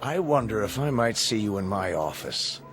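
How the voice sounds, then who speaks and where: A man's voice: fairly low pitch, very animated, normal speed, over music. A man playing a stern, sarcastic bank president in a radio drama, clipped and condescending.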